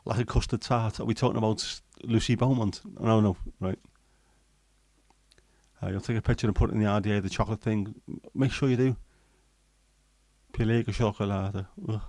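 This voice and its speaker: Bloke chatting on a stream, umms a lot, low-ish voice, very dry mic. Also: male voice, fairly even intonation, moderate pace, long pauses, a little mellow, dry recording. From England. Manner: chatty and rambling, with many ums and restarts. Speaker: livestream host. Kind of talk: casual chat, reading and answering live comments.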